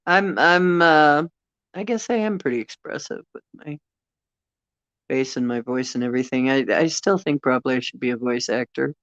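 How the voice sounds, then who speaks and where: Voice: medium pitch, normal speed, a bit bright, dry recording, dull audio. An older host with a Northeastern Ohio accent, chatting casually and expressively, laughing often and trailing off with fillers. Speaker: channel host. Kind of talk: casual livestream chat.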